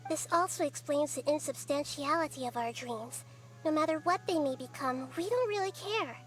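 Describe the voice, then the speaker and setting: A woman voicing a small, wise young goddess who speaks softly and explains things in a measured way. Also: sits high, natural voice. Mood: calm. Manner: soft, measured, gently explaining. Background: game music.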